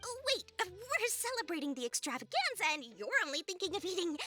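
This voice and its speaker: A woman's voice: extremely high pitch, sing-song, fairly quick, sharp tone, heavily acted. A woman voicing a small, greedy, eager young merchant in a video game, with a gushing, sing-song sales patter. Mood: coaxing. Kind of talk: animated game voice acting. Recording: game music behind the voice.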